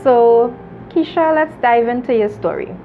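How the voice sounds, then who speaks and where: A woman hosts a talk show in an earnest, measured way, laughing easily with her guest. She speaks slowly and deliberately. The recording is a bit muffled, with soft music underneath. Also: a little high. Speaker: host.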